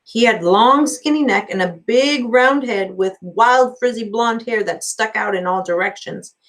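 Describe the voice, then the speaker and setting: A woman, a teacher, reading a children's novel aloud in an expressive storytelling style. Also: fairly high pitch, a little slow.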